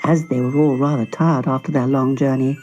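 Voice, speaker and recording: A woman narrating a children's fairy tale in an expressive, dramatic storytelling manner. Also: rumbling low, fairly quick, dull audio. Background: music.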